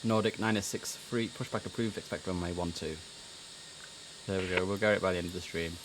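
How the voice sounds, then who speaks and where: A man's voice: sits low, fairly even intonation, a little fast. A man livestreaming a game, mixing casual muttered chat with clipped air traffic control phraseology. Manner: casual, muttering to himself between clipped instructions. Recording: clear background noise under the voice.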